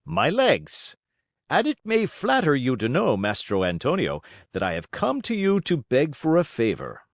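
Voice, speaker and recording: Man putting on a high-pitched, excitable little old man. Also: normal speed, dry recording, clean studio sound. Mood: eager, chipper. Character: dapper, hot-tempered old man, proud and excitable.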